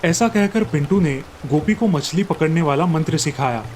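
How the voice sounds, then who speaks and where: A man's voice: a little high, expressive, normal speed, darkish tone, a little hiss or hum. A man narrating a scripted fairy tale as a storyteller. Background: light background music.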